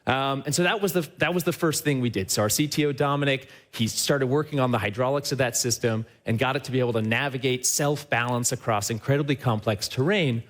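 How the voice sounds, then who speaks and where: Guy giving a keynote on stage, excited, talks right to the crowd, keeps saying right?. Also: mid-range voice, a little fast, hardly any pauses. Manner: upbeat and enthusiastic, often checks in with a tag question; addresses the audience directly. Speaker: company CEO giving a stage talk. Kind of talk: live conference presentation. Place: trade show stage before a live audience.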